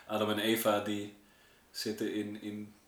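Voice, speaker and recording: A man's voice: lowish voice, completely flat delivery, unhurried. A man hosting a casual podcast conversation, informal and searching for his words. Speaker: podcast interviewer. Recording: roomy, echoey space.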